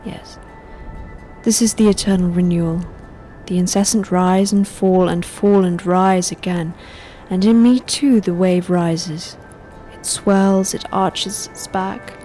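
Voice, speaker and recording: Actress as weary, defiant old woman, radio-drama monologue; slow, literary, declamatory delivery over clear music. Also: on the low side, warm tone. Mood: weary, resolute. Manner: poetic, declamatory, measured. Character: elderly, weary, defiant woman narrator facing death.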